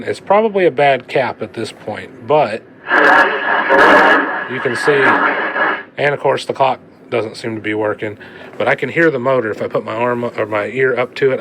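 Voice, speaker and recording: A man with a medium-pitched voice talks casually through a hands-on repair job. He thinks aloud in an informal, folksy way. Noticeable noise sits behind his voice. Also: low-quality recording. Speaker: hobbyist repair vlogger. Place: indoors at a repair workbench. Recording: clear steady background noise.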